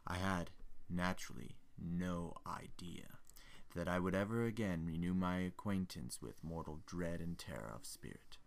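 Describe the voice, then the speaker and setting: A man acts out a melancholy older gentleman haunted by his past. His voice is low and creaky, and he delivers his stage monologue in a formal, measured, brooding way, as a theatrical ghost story. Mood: brooding, reflective. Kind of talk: dramatic monologue reading from a stage play.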